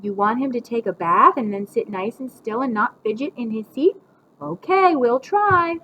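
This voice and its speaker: Woman acting out a high-pitched, domineering old grandmother. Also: animated, moderate pace, a bit bright, dull audio. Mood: falsely sweet. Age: older. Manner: commanding, snapping, falsely sweet. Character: domineering, teasing grandmother tricking a small boy. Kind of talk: storybook read-aloud.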